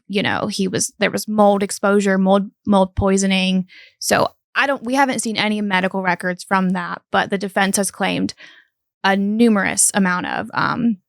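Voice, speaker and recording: A woman with an Appalachian accent, co-hosting a true-crime podcast and talking it through in a relaxed way, with many fillers. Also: mid-range voice, creaky, dry recording, studio quality. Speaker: podcast co-host. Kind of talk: casual true-crime discussion.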